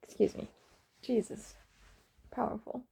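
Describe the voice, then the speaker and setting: A woman's voice: measured pace, very noisy. A woman with a stuffed-up, sniffly nose, talking casually into her phone at home and murmuring breathily between sneezes. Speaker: vlogger. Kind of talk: casual self-recorded vlog.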